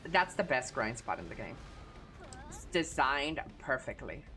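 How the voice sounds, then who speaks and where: A woman's voice: extremely low pitch, sing-song, unhurried, a bit bright, soft background music. A woman with a Texan Southern accent chatting casually and crudely with her audience while streaming a game. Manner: crude, profane, laid-back. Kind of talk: casual gaming stream chat.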